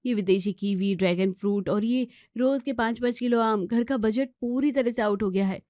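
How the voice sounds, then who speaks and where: A woman's voice: fairly high pitch, normal speed, dark tone, no echo, studio quality, natural voice. A woman voicing an anxious, complaining young daughter-in-law in an Indian family story, with fretful, emphatic delivery. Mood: worried, indignant. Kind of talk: acted Hindi family story dialogue.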